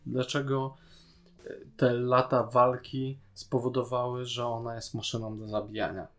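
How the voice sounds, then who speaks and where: A man's voice: medium pitch, flat delivery, measured pace, a bit bright. A man co-hosting a podcast, chatting casually and analytically as he critiques a series. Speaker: podcast co-host. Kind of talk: casual chat reviewing a TV series.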